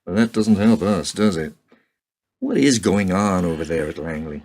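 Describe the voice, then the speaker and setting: Sardonic, husky American president, played by a man. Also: sits low, moderate pace, darkish tone. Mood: sardonic, probing. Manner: sardonic, questioning, commanding. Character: US President, shrewd, sardonic, authoritative male leader. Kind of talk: radio play acting.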